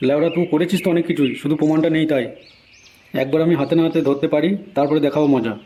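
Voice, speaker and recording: A man's voice: fairly high pitch, fairly even intonation, very fast, mellow, a little hiss or hum, lightly acted. A man playing a hot-tempered, foul-mouthed married neighbourhood man in a comedy cartoon, scolding and threatening. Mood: angry, scolding. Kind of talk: amateur comedy cartoon dialogue.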